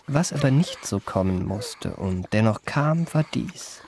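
An actor playing a worldly, amorous memoirist narrating a radio drama, husky and gravelly, with ornate, savouring delivery. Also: male voice, mid-range voice, lively intonation, measured pace, a little mellow. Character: worldly, amorous male memoirist recalling his adventures.

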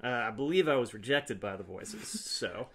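A man's voice: medium pitch, expressive, normal speed, breathy edge. A man player in a tabletop roleplaying game, talking casually and partly in character.

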